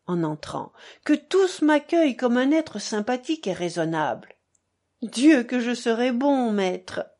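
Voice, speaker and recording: A woman's voice: a little high, darkish tone, voice pushed up. A woman voicing a theatrical, self-abasing old buffoon in a novel, exclaiming and declaiming with effusive mock piety.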